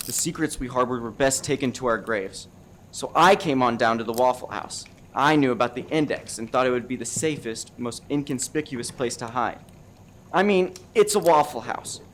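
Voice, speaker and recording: A man's voice: mid-range voice, moderate pace, close to an everyday voice. A man acting as a cornered undercover federal agent in a comedic radio play, earnest and exasperated. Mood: confessing.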